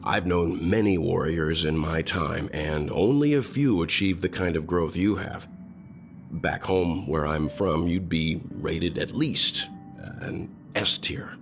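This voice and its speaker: A wise older man from a video game speaks in a low voice. He sounds dignified and encouraging, measured in his phrasing, with faint music behind. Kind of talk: video game character dialogue.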